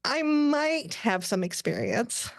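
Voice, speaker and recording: A woman plays a young alien woman officer from a sci-fi cartoon. Her voice sits high and the sound is dry, as in a script read aloud at a table. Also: lively intonation, takes it slowly, vocal fry, no room sound, full character voice. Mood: forced cheer.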